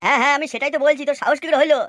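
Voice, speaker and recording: Woman voicing a high, fast, pompous rich boy. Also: steady tone, fairly bright tone. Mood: haughty, scolding. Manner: pompous, scolding. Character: boastful, touchy young landlord's son, cartoon boy. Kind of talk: exaggerated comic cartoon dialogue.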